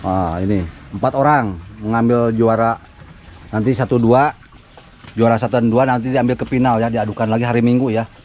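A man in his thirties with a Sundanese accent, giving excited live commentary while fishing outdoors at a pond, often laughing and yelping. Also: medium pitch, moderate pace, a little hiss or hum. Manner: excitable, laughing, yelps when fish bite. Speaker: fishing vlogger.

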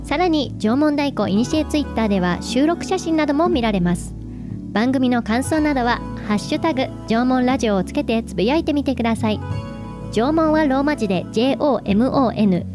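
A woman's voice: high-pitched, lively intonation, fairly quick. A woman working as a radio host, presenting announcements and chatting with her co-host. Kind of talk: radio hosting, announcements and chat. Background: background music.

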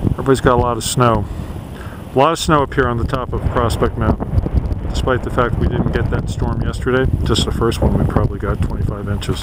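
A man narrating his cross-country ski outing outdoors, chatty and relaxed as he admires the snowy scenery, recorded on a handheld camera mic. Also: medium pitch. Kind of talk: casual outdoor vlog narration. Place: snowy forest and mountaintop outdoors. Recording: wind noise on camera mic. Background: wind and flowing water.

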